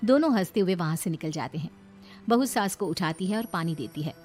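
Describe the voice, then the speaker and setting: A woman's voice: on the low side, expressive, a little fast, darkish tone, faint music behind. A woman reading scripted, dramatic narration for a moral story.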